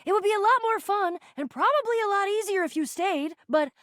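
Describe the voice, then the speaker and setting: A woman's voice: very high voice, expressive, fairly bright tone, no echo, clean studio sound. A young American woman voicing a bossy, sarcastic, theatrical and easily flustered female adventurer in a video game. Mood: exasperated, pleading. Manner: theatrical, exasperated, quick to swing between bravado and fluster.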